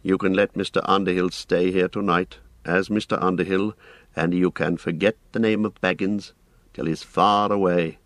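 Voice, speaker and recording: A man voicing a blunt, grave, commanding ranger in an audiobook, speaking tersely and deliberately. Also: sits low, steady tone, fairly bright tone, no room sound. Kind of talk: audiobook character voice.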